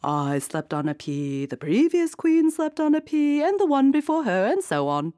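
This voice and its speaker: A woman doing a shrill, squealing queen. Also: big pitch swings, normal speed, no room sound, clean studio sound. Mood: insistent. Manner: squealing, high and loud. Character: bossy excitable queen, shrill and pompous.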